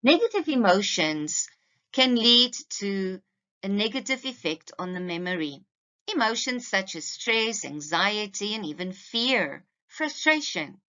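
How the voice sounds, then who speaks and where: Bright, lively woman giving an instructional presentation. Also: medium pitch, dull audio. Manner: didactic, emphatic, addressing listeners directly. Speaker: teacher presenting to parents. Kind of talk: slide presentation lecture, instructional.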